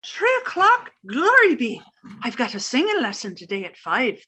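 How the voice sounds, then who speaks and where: A woman's voice: a little high, wildly swooping pitch, normal speed, bright, forceful tone, husky, heavily acted. A woman playing a fussy older Irish landlady with a proud, indignant manner in a 1950s-style radio comedy. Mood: chirpy. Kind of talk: 1950s radio sitcom acting.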